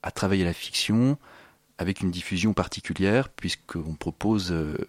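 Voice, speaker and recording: A man's voice: deep voice, moderate pace, no echo. A man explaining his sound installation in an interview, searching for his phrasing and repeating words as he goes.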